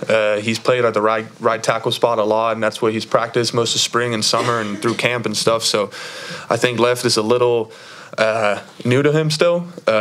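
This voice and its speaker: A man in his early twenties, a college football player giving earnest, measured answers at a press conference. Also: medium pitch, a bit bright, slight background noise. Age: early 20s. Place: indoor press conference room. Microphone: tabletop microphone.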